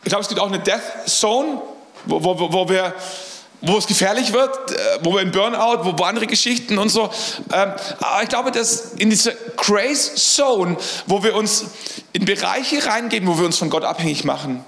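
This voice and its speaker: High-voiced earnest preacher in echoey hall. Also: male voice, expressive, moderate pace, a bit bright. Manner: earnest, repeats words while searching for phrasing. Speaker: pastor. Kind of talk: sermon.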